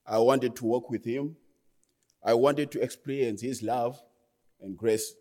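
A man in his twenties with a Zimbabwean accent, giving a personal testimony as a guest speaker in an earnest, halting way that often restarts phrases. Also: medium pitch, dark tone. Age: 20s. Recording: long hall reverb.

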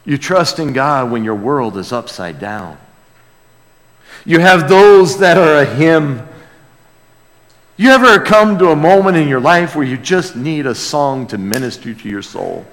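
American male pastor, slightly high-voiced, earnest, emphatic conversational sermon, long hall reverb. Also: animated, measured pace. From United States. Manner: earnest, emphatic, dropping to hushed asides, rhetorical questions. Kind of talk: sermon, conversational Bible teaching.

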